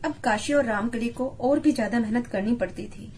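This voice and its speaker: Female storyteller, higher register, scripted moral-tale narration, faint ambient noise behind. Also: moderate pace, a little mellow.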